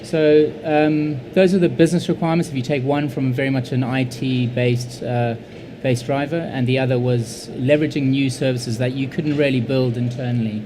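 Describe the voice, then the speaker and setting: A man answering questions on a conference panel, speaking in a measured, hesitant way with many fillers and repeated words. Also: mid-range voice, steady tone, background noise. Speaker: panelist, insurance IT leader.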